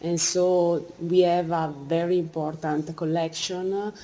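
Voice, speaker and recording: Halting Italian woman, low mellow voice. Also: little pitch movement, low-quality recording. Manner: halting, searching for English words. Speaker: museum director being interviewed. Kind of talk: informal interview. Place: museum cafe. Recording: faint background noise, museum cafe.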